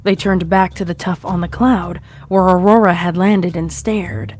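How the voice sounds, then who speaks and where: Low-pitched woman narrating a dramatic story. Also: fairly even intonation, unhurried. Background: faint music.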